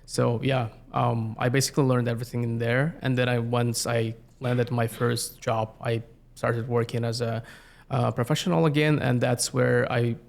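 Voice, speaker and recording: Guest in his 30s, Yakut native speaker from Russia, gravelly, monotone delivery, halting. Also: mid-range voice. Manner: halting, many fillers and restarts. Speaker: guest, community planner. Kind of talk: casual interview chat.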